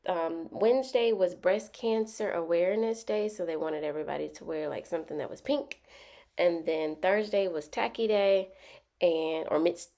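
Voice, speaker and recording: A woman's voice: on the low side, vocal fry, darkish tone. Chatty Southern woman with a stuffy cold. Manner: chatty, rambling, many ums and likes. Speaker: planner video host. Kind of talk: casual planner narration.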